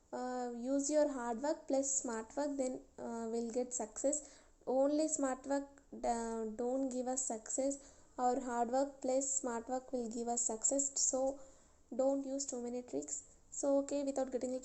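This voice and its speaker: A young woman talks her viewers through test questions in a casual tutorial. Her voice sits high yet sounds soft and mellow rather than bright. She explains one step at a time, slowly and patiently. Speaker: YouTuber sharing exam tips.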